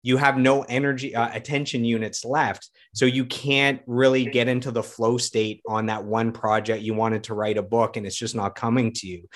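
A man hosting an interview podcast, asking questions in a casual, relaxed way. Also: mid-range voice, normal speed, few pauses. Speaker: podcast host. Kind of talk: conversational interview.

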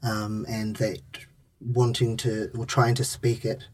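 A man asking measured questions as a podcast interviewer. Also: fairly low pitch, flat delivery, darkish tone, husky, far from the mic.

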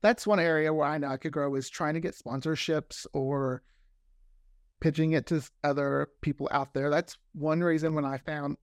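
A man's voice: on the high side, fairly even intonation, moderate pace, no room sound, studio quality. An American man talking casually as an interview guest, chatty and anecdotal, often quoting conversations. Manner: chatty, anecdotal, acts out quoted dialogue. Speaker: interview guest, fiction podcast creator.

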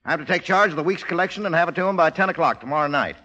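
An actor playing a cool, cocky undercover cop posing as a racketeer, sardonic and clipped, American, in a 1930s radio drama. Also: male voice, a little high, racing, sharp tone. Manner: sardonic, self-assured, clipped. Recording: muffled lo-fi 1930s radio recording.

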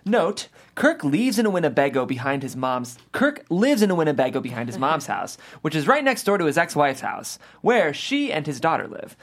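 A man's voice: on the high side, very animated, normal speed, hardly any pauses. A man reading scripted stage directions as narrator of a comedy table read.

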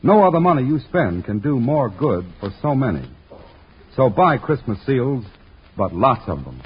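A man's voice: medium pitch, very animated, moderate pace. A man announcing on 1940s radio, reading scripts in an emphatic, formal, salesmanlike way. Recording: dull, scratchy 1940s radio recording.

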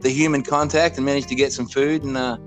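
Aussie basketball coach, 50s, rambles and restarts a lot, chatty interview, bit of music under it. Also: male voice, medium pitch, flat delivery, fast. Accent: Australian. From Australia. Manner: rambling, self-correcting storyteller. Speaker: college basketball head coach. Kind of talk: casual podcast interview.

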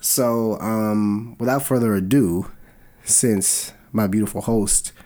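A man co-hosting a podcast with his wife, a little raspy from a cold, talking in an earnest, loose way with many fillers. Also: lowish voice, takes it slowly, mellow. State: has a cold, slightly raspy. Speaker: podcast co-host. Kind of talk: casual podcast chat.

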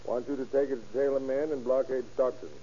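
An actor playing an authoritative county sheriff giving clipped orders in a 1930s radio crime drama. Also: male voice, medium pitch, fairly even intonation, quick pace, mellow, a little hiss or hum, lightly acted. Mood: commanding. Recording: muffled old radio recording.

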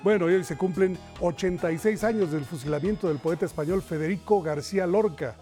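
A man's voice: high voice, a little fast, dark tone, soft background music. A man with a Mexican accent presenting a culture segment in a broadcast reporting style.

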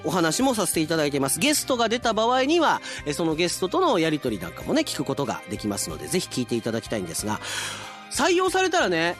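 Animated male radio host, very lively pitch. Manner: chatty, teasing asides. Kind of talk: casual radio presenting to listeners. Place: radio studio.

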